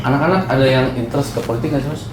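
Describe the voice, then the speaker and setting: A male host keeps the chat moving with quick prompts and reactions. His pitch sits in the middle range. The recording sounds distant and echoey, with noise underneath. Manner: chatty, reacting with laughs and short prompts. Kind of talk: casual interview chat. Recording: roomy echo, mic at a distance, noisy background.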